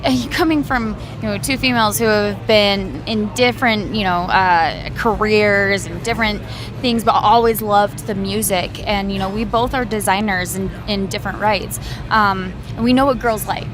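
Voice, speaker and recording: A woman's voice: mid-range voice, moderate pace, crowd noise. A woman singer from a band answering interview questions in a loose, rambling way with many fillers.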